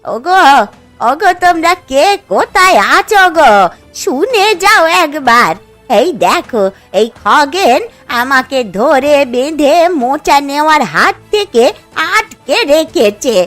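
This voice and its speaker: Lady doing a plaintive feisty old granny in a cartoon, slowish and really singsong, music under. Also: sits high, heavily acted. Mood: indignant, distressed. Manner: plaintive, expressive, homely. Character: poor elderly village mother-in-law, plaintive but feisty. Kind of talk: Bengali cartoon story acting.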